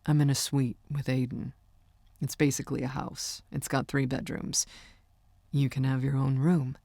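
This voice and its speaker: Woman reading as a low, affectionate young man. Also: level tone, normal speed, gravelly, dry recording, heavily acted. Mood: tender, affectionate. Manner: soft, matter-of-fact. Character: young male singer, amused, tender, affectionate boyfriend.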